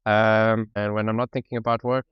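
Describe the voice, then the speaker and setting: Man, French and South African accent, casual guest, laughs easily, smooth voice, dull lo-fi sound. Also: fairly low pitch, flat delivery, normal speed, no echo. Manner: easygoing, laughs often, many "like" fillers. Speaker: podcast guest. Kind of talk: casual conversational interview.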